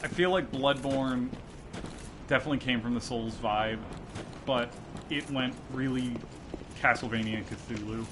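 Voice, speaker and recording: A man's voice: mid-range voice, measured pace, long pauses, background noise. A man giving casual, jokey and profane commentary while hosting a video game stream. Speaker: host playing and explaining the game. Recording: loud game music behind voice. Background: loud video game music.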